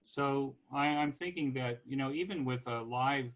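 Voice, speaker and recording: A man over fifty with a North American accent, giving an informal explanatory presentation in a halting way, pausing to search for words. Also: medium pitch, fairly bright tone. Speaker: podcast host giving a club presentation.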